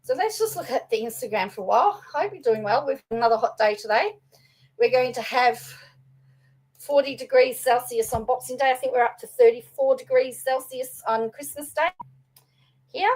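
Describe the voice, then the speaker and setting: A young woman, a little raspy from singing, chatting casually to her channel with fillers and restarts. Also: sits high, moderate pace, a little mellow, rough recording. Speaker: vlogger and law student. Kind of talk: casual commentary to camera.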